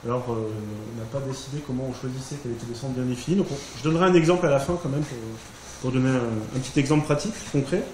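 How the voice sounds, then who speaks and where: A man's voice: medium pitch, quick pace, a little mellow. A French man giving an informal spoken summary of a group's work, hesitating often with fillers and restarts. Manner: thinking aloud, many fillers and restarts. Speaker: workshop participant presenting group results. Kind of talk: informal presentation. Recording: echoey room, faint background hiss.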